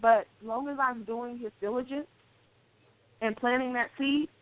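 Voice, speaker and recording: A woman's voice: fairly high pitch, steady tone, moderate pace, dry recording. A woman life coach speaking as a radio guest, earnest and hesitant, with many ums. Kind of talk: casual interview chat. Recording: dry, tinny phone-line sound.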